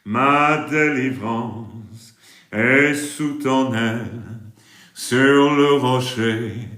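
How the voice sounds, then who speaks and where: A preacher from England with a British accent, leading a worship livestream. He sings drawn-out hymns and breaks into brisk, chatty replies to viewers. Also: medium pitch, fairly bright tone, reverberant. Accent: British English.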